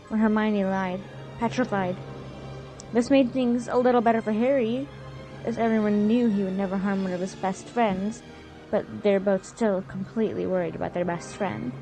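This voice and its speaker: A woman's voice: fairly high pitch, a little mellow, rough recording. A woman narrating a scripted recap of an audio drama story. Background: faint music.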